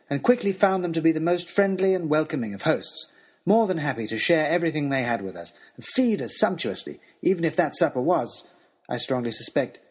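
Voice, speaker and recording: A man playing an earnest, lovestruck gentleman adventurer who tells his journey in formal, old-fashioned, expressive storytelling. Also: fairly high pitch, breathy edge. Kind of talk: dramatised first-person storytelling, as a letter to a lady.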